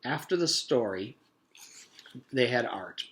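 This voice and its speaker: A man's voice: medium pitch, lively intonation, takes it slowly, a bit bright. A man reading a children's story aloud as a teacher would to pupils, in storybook narration.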